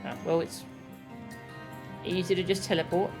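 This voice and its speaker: A woman's voice: fairly low pitch, level tone, moderate pace. A woman game streamer giving casual, understated live commentary, musing to herself as she plays. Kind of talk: casual live gameplay commentary, reading text aloud. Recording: game music behind the voice.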